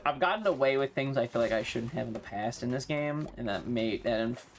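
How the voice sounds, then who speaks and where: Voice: medium pitch, fairly quick, slight background noise. A streamer with an androgynous-sounding voice, chatting casually during a live game in a slangy, sweary, self-mocking way.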